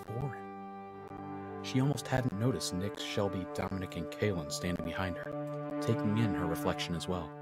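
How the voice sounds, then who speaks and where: A man narrating a fantasy audio drama as a measured, scripted storyteller. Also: sits low, lightly acted. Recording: music bed under the voice. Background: loud musical score.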